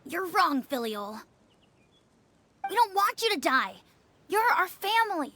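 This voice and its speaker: A woman's voice: very high-pitched, very animated, measured pace, bright, forceful tone, slight background noise, heavily acted. A woman voicing an earnest young girl informant who reports on her housemates in a game. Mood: accusing. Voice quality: matter-of-fact, tattling. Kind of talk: game voice acting.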